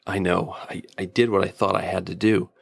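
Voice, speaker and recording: A man playing a weary, regretful time traveler in a radio drama, with a husky, creaky voice. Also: low voice, level tone, brisk, full character voice. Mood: regretful, troubled.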